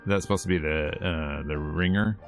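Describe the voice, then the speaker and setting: A man's voice: extremely low pitch, brisk, over music. A man streaming a visual novel, reading the narration aloud and adding casual commentary.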